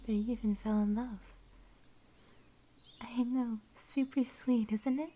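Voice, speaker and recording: Woman playing a shy, whispering werewolf girl, very mellow. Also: mid-range voice, slight background noise, lightly acted. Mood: bashful, playful. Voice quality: soft, breathy whispering. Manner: gentle, bashful, tender. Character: shy, sweet young werewolf girl on a date. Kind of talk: ASMR roleplay, intimate storytelling.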